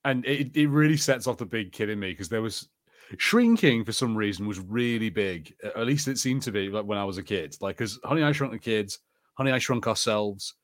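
A man's voice: on the low side, expressive, a little fast. A man co-hosting a podcast, chatting enthusiastically and nostalgically in casual watch-party commentary. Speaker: podcast co-host.

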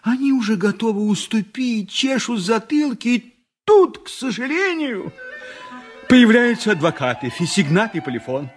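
An actor playing a self-satisfied, conciliatory town judge in a radio play, authoritative yet coaxing. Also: male voice, sits high, big pitch swings, normal speed, slightly rough, faint music behind, heavily acted. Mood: wry narration. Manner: authoritative, coaxing, narrating his own case. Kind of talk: 1980s radio play acting.

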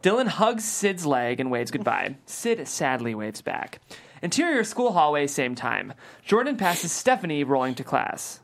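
Low-voiced, animated woman narrator. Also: unhurried. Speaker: stage directions reader. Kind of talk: scripted narration at a comedy table read.

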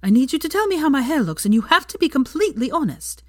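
A woman voicing a sharp, anxious and bossy young witch in a storybook reading, urgent and emphatic. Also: high-pitched, very animated, quick pace, dry recording, studio quality, voice pushed up. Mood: anxious, snappish.

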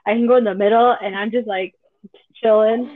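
Brief: teen girl guest, relaxed but shy, fairly high voice, tinny phone-like sound. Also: fairly quick. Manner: relaxed, plain, a little shy. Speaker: podcast guest. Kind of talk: casual chat.

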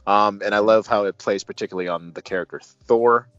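A man with a very low voice hosts a casual film chat. He talks thoughtfully and haltingly, with a fan's enthusiasm. The sound is dry and a bit dull, with music behind him. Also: a bit bright, clear, resonant tone, no room sound. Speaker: movie review show host.

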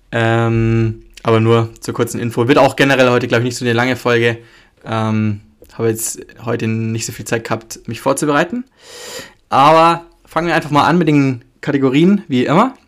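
A German man hosts a podcast by himself, and his voice is thick and stuffy from a cold. He talks loosely and rambles, filling pauses and correcting himself as he goes. His pitch sits in an ordinary middle range. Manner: loose and rambling, many fillers and self-corrections. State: has a cold, stuffy nose. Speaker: podcast host. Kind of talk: casual solo podcast talk.

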